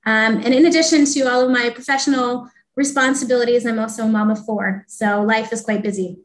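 Guest: upbeat woman with a Southern US accent, thirties, fairly high voice, video call. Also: steady tone, fairly quick. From Atlanta, Georgia, US. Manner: upbeat, articulate, occasional filler ums. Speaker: marketing communications partner. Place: room by a bright window.

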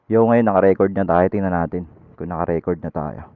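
A man's voice: deep voice, brisk, smooth, no room sound. Man chatting through a lo-fi helmet intercom. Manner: rambling, loose and chatty with fillers. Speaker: motovlogger. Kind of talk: casual how-to vlog, chatting while riding. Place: outdoors on a motorcycle. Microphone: helmet intercom headset. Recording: dull lo-fi intercom audio.

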